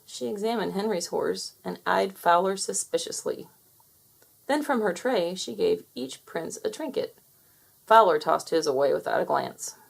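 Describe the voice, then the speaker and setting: Woman, children's read-aloud, inviting, lively pitch, gravelly texture. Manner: inviting, asks listeners to guess. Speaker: storybook reader.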